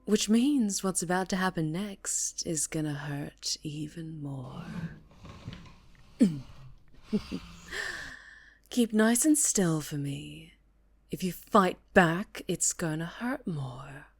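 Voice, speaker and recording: A woman playing a sadistic, seductive mafia boss in an ASMR roleplay, teasing and menacing, often dropping into breathy whispers. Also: low voice, lively intonation, takes it slowly, long pauses, full character voice. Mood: seductive menace.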